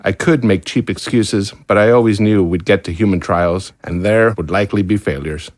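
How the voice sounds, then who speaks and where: An actor playing a resigned, guilt-ridden scientist in an audio drama, grave and measured. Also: male voice, lowish voice, no room sound, clean studio sound, lightly acted. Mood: remorseful, resigned. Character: remorseful, resigned male scientist, weary and principled.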